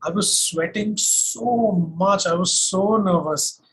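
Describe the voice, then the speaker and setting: A man from Delhi with an Indian accent, casually looking back as an interviewed singer, reflective and pausing often. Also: high voice, a bit bright, poor audio. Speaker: singer-songwriter being interviewed.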